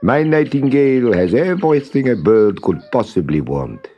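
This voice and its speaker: A man's voice: medium pitch, very animated, moderate pace, mellow, highs cut off, faint music behind. A man voicing a rich merchant, fond and puzzled, in earnest storybook dialogue. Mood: self-satisfied. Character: rich Persian merchant, fond and puzzled male bird owner.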